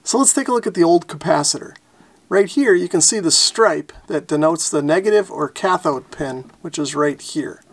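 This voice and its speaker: A man narrates a hands-on tutorial. His voice sits slightly higher than most men's. He explains each step in a plainspoken, methodical way. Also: expressive, moderate pace. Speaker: host of a radio repair tutorial.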